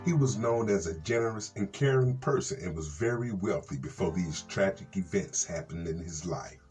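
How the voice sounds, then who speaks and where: An American man, a reverend hosting a Bible program, reads scripture and commentary in a measured, earnest way with folksy asides. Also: low voice, darkish tone. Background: plucked guitar music.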